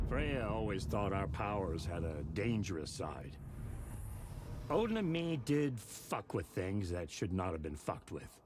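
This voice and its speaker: An actor playing a gruff, foul-mouthed old grandfather full of regret and defiance in a video game, speaking bluntly and with weight. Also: low-pitched, background noise, heavily acted. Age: older. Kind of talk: video game acting, dramatic.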